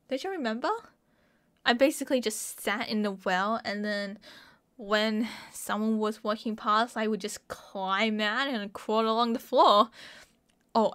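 A young woman with an Australian accent playing an excitable, mischievous college friend in a casual audio roleplay. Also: a little high. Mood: mischievous, reminiscing. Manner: playful, giggly, slangy. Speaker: roleplay voice performer. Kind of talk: ASMR roleplay acting, casual chat to a friend.

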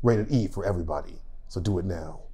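Man putting on a low, grave movie-trailer narrator. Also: very noisy, lightly acted. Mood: grave, dramatic. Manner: slow, dramatic, portentous. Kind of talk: parody trailer voice-over. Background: loud music.

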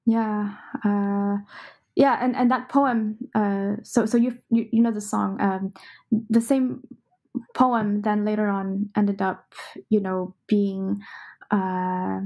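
A young woman talks through her work in a relaxed interview, pausing often and filling gaps with soft "uh"s. Her voice is mellow and dark, with a gravelly fry, and her pitch stays fairly flat. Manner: thoughtful, many "uh" fillers. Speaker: composer, interview guest. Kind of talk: casual interview answers.

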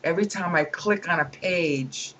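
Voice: mid-range voice, moderate pace, sharp tone. Opinionated reader with chuckling asides. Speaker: reader commenting on a book. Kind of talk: reading aloud with commentary.

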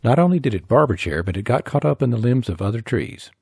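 A man's voice: medium pitch, animated, quick pace, gravelly, darkish tone, husky, dry recording. A man narrating his own tree-cutting video in a scripted voice-over, with dry folksy humor.

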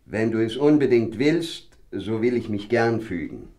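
A grave, kindly old king from a fairy-tale radio play. His voice is on the low side. He speaks very slowly and solemnly. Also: expressive, a little mellow. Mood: grave, concerned. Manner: measured, solemn. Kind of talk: fairy-tale audio drama acting.

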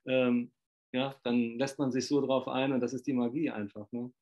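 A man's voice: medium pitch, little pitch movement, moderate pace, darkish tone, highs cut off. A man in his fifties interviewing over a video call from a small room, talking in a rambling, effusive way full of fillers. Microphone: laptop webcam on video call.

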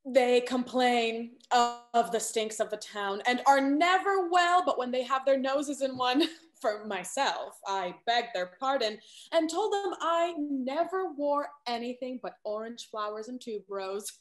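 Woman playing a high-voiced, flustered scheming gentlewoman. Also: animated, a little slow. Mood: airy bluffing. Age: young adult. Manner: theatrical, arch. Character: flustered, scheming young gentlewoman of Restoration London. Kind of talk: Restoration comedy stage acting over video call. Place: small room at home. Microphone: video call.

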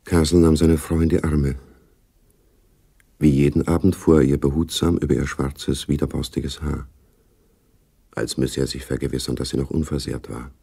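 A man narrating a German radio play as a sober, observant storyteller, with a dark, husky, gravelly voice. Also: rumbling low, flat delivery, normal speed, pauses often, full character voice.

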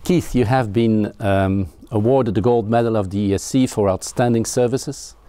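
A man's voice: fairly low pitch, moderate pace, mellow, no echo. A man in his sixties from Belgium hosting a studio interview, asking courteous questions. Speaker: interview host. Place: studio set with a blue backdrop. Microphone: lapel mic.

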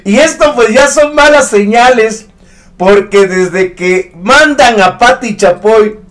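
A man in his thirties hosting an online news commentary show, speaking with emphatic indignation. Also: sits high. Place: studio set with a news backdrop.

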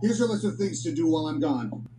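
A man voicing a worried male cowboy toy in animated film dialogue, talking fretfully and urgently. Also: on the high side, fast talker, music in the background, lightly acted, poor audio. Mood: flustered. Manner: fretful, frantic, then apologetic. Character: anxious male cowboy toy, sheriff type.

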